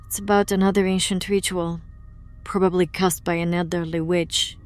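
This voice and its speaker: A woman's voice: on the low side, level tone, normal speed, faint music behind, lightly acted. A woman acting in an audio drama as a guarded, wry young woman who knows occult rituals and explains them in an understated way. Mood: explaining, serious.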